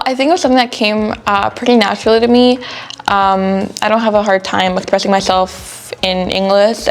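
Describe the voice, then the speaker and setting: A late-teen young woman, the student host of a school show, answering interview questions in a relaxed, thoughtful way with frequent "you know" fillers. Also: medium pitch. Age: late teens.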